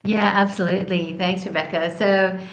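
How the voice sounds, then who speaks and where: A woman's voice: mid-range voice, fairly even intonation, normal speed, muffled recording. A woman from Southeast Asia, a guest answering interview questions in an earnest way, explaining at length with frequent ums.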